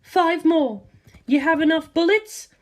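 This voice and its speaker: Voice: very high-pitched, a little slow, voice pushed up. A narrator voicing a confident young warrior woman with a composed, curt manner in a storybook reading.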